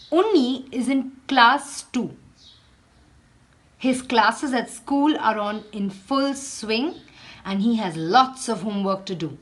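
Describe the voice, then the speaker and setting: Female teacher for children's read-aloud. Lively, swooping intonation, slow deliberate delivery, instructional and expressive. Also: on the high side. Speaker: teacher reading a story aloud.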